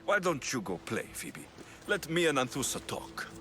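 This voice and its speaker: A sardonic, watchful Greek mercenary from a video game. His delivery is dry and teasing, with widely moving intonation. Soft music sits behind the dialogue. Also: medium pitch, wildly swooping pitch, normal speed. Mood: firm. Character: wry, guarded male Greek mercenary, sardonic but protective. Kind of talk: video game cutscene acting.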